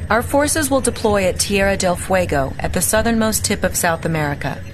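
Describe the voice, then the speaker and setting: A woman's voice: medium pitch, big pitch swings, moderate pace, background noise, natural voice. A young woman playing an earnest, dutiful military lieutenant in a game cutscene, speaking formally. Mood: focused. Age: young adult. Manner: formal, crisp military reporting.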